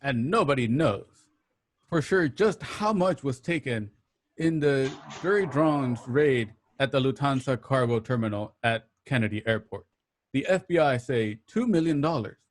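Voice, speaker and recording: A man playing an urgent radio news reporter in a radio play, delivering the bulletin in short dramatic phrases. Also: a little high.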